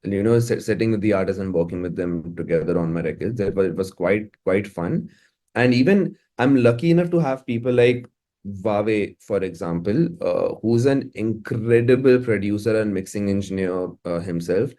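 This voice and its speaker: A man's voice: on the low side, moderate pace, dark tone. A man with an Indian English accent, talking casually as an interviewed musician, often repeating words as he thinks.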